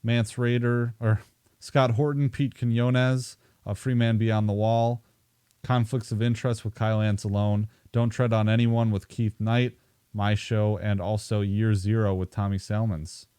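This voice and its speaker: A man hosting a podcast, his voice low and mellow. The recording is dry and close, with no room sound. He talks casually, sprinkling in fillers as he reads plugs and questions his guest. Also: flat delivery, measured pace, smooth, no room sound. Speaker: podcast host. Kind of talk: podcast host reading plugs and asking questions.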